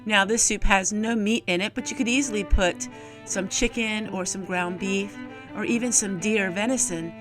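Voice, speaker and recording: A woman's voice: mid-range voice, moderate pace, over music. A middle-aged woman narrating a homemaking vlog, explaining chores and cooking in a plain-spoken, folksy way. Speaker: homesteading vlogger and host.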